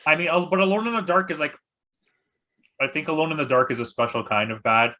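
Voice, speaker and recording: Bright, forceful man, blunt podcast guest. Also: on the high side, quick pace. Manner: blunt, dry joking. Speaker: podcast guest, movie critic. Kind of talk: casual chat.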